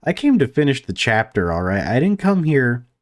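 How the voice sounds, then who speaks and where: A man's voice: mid-range voice, big pitch swings, moderate pace. A man hosting a gaming video, thinking aloud with casual swearing as he comments and reads game text aloud. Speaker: game player and host of a let's play.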